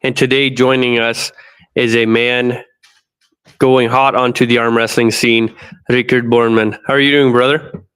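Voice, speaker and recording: Smooth-voiced podcast host, flat delivery. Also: male voice, medium pitch, measured pace, rough recording. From North America. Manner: steady, asks long strings of questions. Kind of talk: podcast interview hosting.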